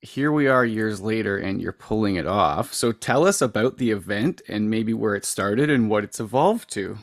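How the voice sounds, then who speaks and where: Low-ish guy hosting a trail podcast, upbeat and thankful, dry close mic. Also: expressive, normal speed, no room sound. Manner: upbeat and appreciative. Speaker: podcast host. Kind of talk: podcast intro and interview.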